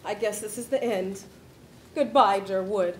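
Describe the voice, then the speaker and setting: A woman's voice: medium pitch, a little slow, noisy background, lightly acted. A woman playing a melodramatic, lovestruck woman turning into a plant in a comedy table read, in an echoey room. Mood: resigned, lovestruck.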